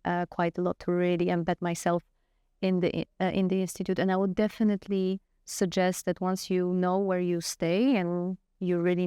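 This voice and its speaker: A woman's voice: on the low side, mellow, clear, resonant tone, no echo, studio quality. A middle-aged woman from Poland with a Polish accent, speaking earnestly and frankly as an interview guest, often restarting her sentences. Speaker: guest scientist. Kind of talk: conversational interview answers.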